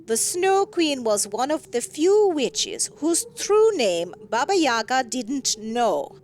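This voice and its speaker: Slow, high-pitched woman storyteller. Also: very animated, a little mellow, slight background noise. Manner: theatrical, wry storytelling delivery. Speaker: story reader. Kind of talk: expressive scripted storybook narration.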